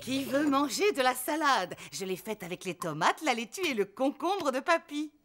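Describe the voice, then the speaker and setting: A woman's voice: sits high, sing-song, normal speed. A woman voicing a caring grandmother pig in a cartoon dub, speaking fondly and coaxingly. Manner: fond, coaxing. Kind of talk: cartoon dubbing. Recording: cartoon soundtrack with music.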